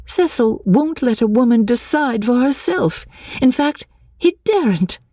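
A woman voicing an excitable, desperate young Englishwoman in an audiobook, gushing breathlessly and stammering over repeated words. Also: fairly high pitch, a little slow, dry recording, pitched above the performer's own voice. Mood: flustered. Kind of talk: audiobook character dialogue.

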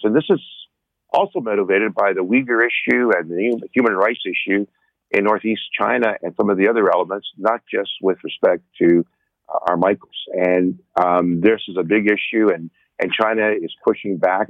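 A man's voice: fairly low pitch, no echo. Man talking over a tinny phone line. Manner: earnest, repeats words. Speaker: radio guest. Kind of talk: casual talk radio chat.

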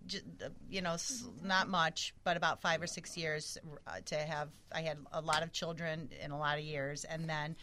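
A woman in her fifties, a real estate agent guest, telling her background in a relaxed, self-deprecating way. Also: low voice, moderate pace, few pauses, a little hiss or hum. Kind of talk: casual interview answers.

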